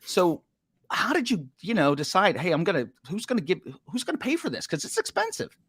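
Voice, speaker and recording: A man hosting a podcast, asking his guest questions in a casual, animated way. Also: a little fast, slightly rough, no room sound, clean studio sound. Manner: animated, questioning, rising pitch when asking. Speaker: podcast host. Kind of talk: casual interview talk.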